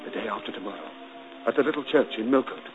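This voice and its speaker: A man playing a brooding, ardent gentleman in a 1940s radio drama, pleading and urgent, rising to impassioned outbursts. The sound is muffled and hissy, like an old broadcast. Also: medium pitch, expressive, quick pace, faint music behind, natural voice.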